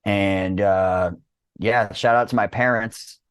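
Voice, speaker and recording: A man in his thirties chatting casually and excitedly as a podcast guest, rambling with lots of slang and swearing. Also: lowish voice, slow pace, clear, resonant tone, no room sound. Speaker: podcast guest, disc golf business owner.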